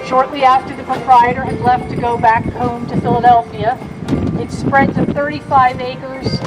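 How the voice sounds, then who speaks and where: A woman giving guided tour commentary from a horse carriage outdoors, with a loud voice and a chatty, joking manner. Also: high-pitched, flat delivery, normal speed, low-quality recording. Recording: background music over the voice.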